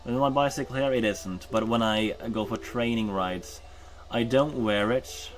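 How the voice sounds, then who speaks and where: A man's voice: mid-range voice, unhurried, faint music behind. A Norwegian man chatting casually while streaming a game, rambling with many ums and uhs and correcting himself. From Norway. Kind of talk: casual chat while gaming.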